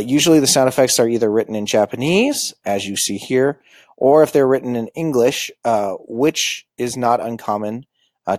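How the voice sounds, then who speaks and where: A man giving an informal lecture on manga, halting often with ums and uhs. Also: on the low side, a little mellow, no echo. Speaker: school librarian teaching manga basics.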